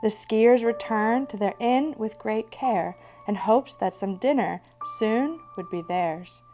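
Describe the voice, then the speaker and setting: A woman's voice: a little high, slow, over music. A woman host narrating a rhymed ghost story in storybook style.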